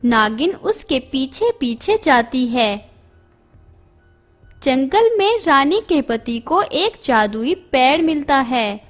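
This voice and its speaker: A woman tells an animated moral story in a steady storybook manner. Her voice is high and unhurried. Faint background music plays throughout.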